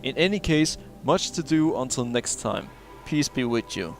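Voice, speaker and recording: A man's voice: medium pitch, animated, normal speed. A man playing through a video game, reading in-game logs aloud and stumbling over words, with many "uh" fillers and small chuckles. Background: faint game music.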